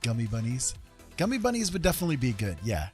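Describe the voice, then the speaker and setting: A man hosting a casual livestream, chatting and explaining things in halting, carefully pieced-together phrases. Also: mid-range voice, huge pitch swings, a little mellow, soft background music. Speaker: art livestream host.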